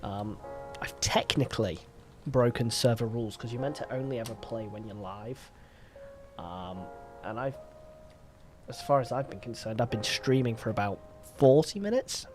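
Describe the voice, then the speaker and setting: A man's voice: medium pitch, moderate pace, a little mellow. Casual male game streamer over music. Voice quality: stuffy, congested. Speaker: live streamer.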